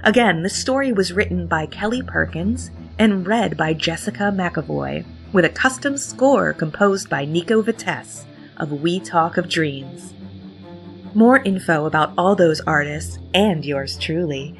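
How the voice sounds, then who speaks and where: Female podcast host, lower register, playful scripted intro delivery, music underneath. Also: expressive, unhurried, rough recording. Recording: music bed behind the voice. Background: background music.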